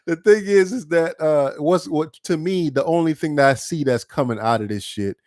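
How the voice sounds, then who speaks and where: A man co-hosting a podcast in casual chat, talking in an animated, emphatic way with plenty of swearing. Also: on the high side, moderate pace, no echo, clean studio sound. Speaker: podcast co-host.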